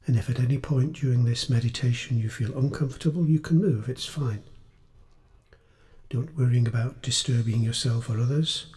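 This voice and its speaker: A man guides a meditation with a dark, relaxed tone and a middling pitch. His delivery is gentle and unhurried, leaving space between short phrases as he instructs the listener. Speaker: meditation teacher.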